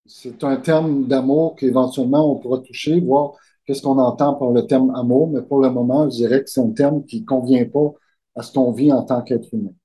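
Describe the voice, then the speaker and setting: A man with a Québécois accent talks as an interview guest. He speaks slowly and deliberately, weighing each phrase. His voice has a mellow, dark tone at an ordinary male pitch. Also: steady tone, rough recording. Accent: Québécois French. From Quebec, Canada. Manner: slow, measured, deliberate delivery. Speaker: guest author. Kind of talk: conversational interview.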